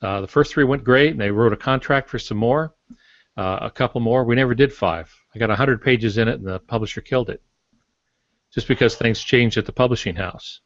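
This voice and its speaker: An older man, a novelist, talking casually on a writing podcast in a halting, matter-of-fact, anecdotal way. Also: mid-range voice, dry recording. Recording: dull lo-fi podcast audio.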